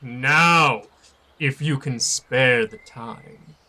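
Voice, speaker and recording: A man voicing a stern, menacing warrior tomcat who talks slowly and coldly in a fan-made audiobook. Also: mid-range voice, bright, forceful tone, full character voice. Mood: mocking. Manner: cold, slow, threatening.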